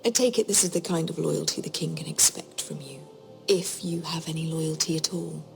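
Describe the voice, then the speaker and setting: A haughty, menacing queen from a fantasy game. Her voice sits fairly low for a woman, and she speaks with measured, condescending formality while music plays clearly beneath her. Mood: cold suspicion. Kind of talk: dramatic fantasy game acting.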